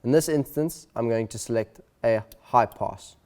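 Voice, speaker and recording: A man presenting an instructional video in a clear, deliberate, step-by-step way. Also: medium pitch, darkish tone. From South Africa.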